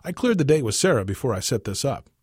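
A man reads the part of a jovial businessman in his sixties from a storybook. He talks in a folksy, emphatic way with a husky voice, recorded dry and close. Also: medium pitch, very animated, quick pace, no room sound. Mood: animated, joking. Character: jovial 65-year-old businessman twin, game but joking. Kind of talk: storybook dialogue.

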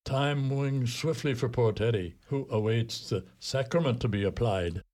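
A man playing a wry, learned Irish townsman in a radio play, measured and wordy. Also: mid-range voice, a little mellow, lightly acted.

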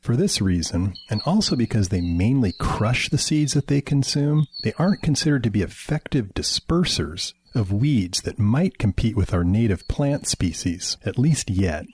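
A man who researches biology reads a scripted science segment for radio in a calm, informative way. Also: fairly low pitch, moderate pace, hardly any pauses, slightly rough, dry recording.

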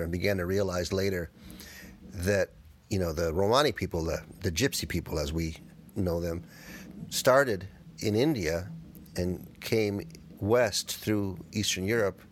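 A man from the United States, a guitarist answering interview questions in a thoughtful, halting way. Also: sits low, a little hiss or hum. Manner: thoughtful, halting, with fillers and restarts. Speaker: guest guitarist.

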